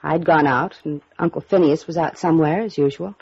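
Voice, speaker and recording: A woman's voice: low-pitched, a little fast, creaky, darkish tone, breathy edge, full character voice. An actress playing a guarded, upper-class young woman with cool, defensive retorts in a 1950s radio drama. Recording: muffled old radio recording.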